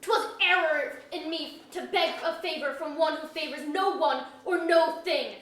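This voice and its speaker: A woman's voice: high-pitched, a little slow, a bit bright. A woman playing an aggrieved, defiant commoner in an old-style verse drama, declaiming with passion. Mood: bitter defiance. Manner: declamatory, impassioned. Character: aggrieved, defiant commoner pleading, then cursing the crown. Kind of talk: staged reading of pseudo-Elizabethan verse drama. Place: museum auditorium, live reading. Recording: echoey auditorium, distant mic.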